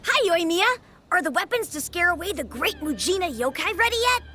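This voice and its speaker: A bright, chirpy little child from a game, pitched very high and bouncing all over. Excited and eager, over loud music. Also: female voice, brisk, exaggerated voice. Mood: cheerful.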